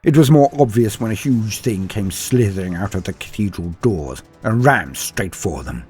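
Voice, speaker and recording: A man's voice: lowish voice, lively intonation, moderate pace, darkish tone. A man reading a scripted war story as narrator, in a dramatic storytelling style. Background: faint music.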